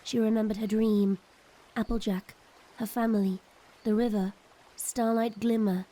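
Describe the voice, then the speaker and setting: A woman narrating a scripted audio drama in a measured, ominous storytelling manner. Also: on the high side, level tone, mellow, rough recording. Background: music.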